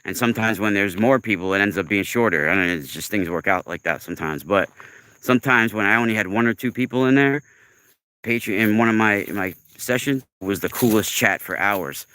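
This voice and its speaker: A man's voice: fairly low pitch, brisk, pushed and bright, clear, resonant tone, dry recording, rough recording. A man in his forties hosting a casual livestream monologue, talking in an earnest, emphatic, rambling way. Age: 40s. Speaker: livestream host.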